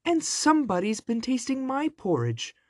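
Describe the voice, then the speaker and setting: A storyteller voicing a little baby boy bear from a children's storybook in a shrill, squeaky voice. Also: wildly swooping pitch, moderate pace, no echo, studio quality, full character voice. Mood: tearful complaint.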